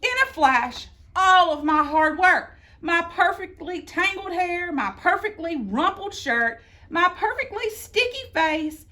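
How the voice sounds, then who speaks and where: A woman reading a children's picture book aloud in an expressive, theatrical way, drawing out words for emphasis. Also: extremely high pitch. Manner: theatrical, deliberate phrasing with drawn-out emphasis. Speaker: storybook reader.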